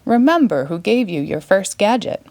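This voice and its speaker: A woman's voice: medium pitch, big pitch swings, normal speed, a little mellow, no room sound. A woman playing a brilliant, self-important evil male scientist in a storybook.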